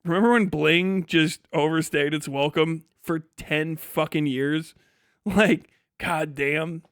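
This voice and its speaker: Higher-voiced man, sweary casual streamer. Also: steady tone, slow pace, fairly bright tone, smooth, dry recording, clean studio sound. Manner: sweary, irreverent, joking. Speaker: livestream host. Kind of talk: casual profane livestream commentary.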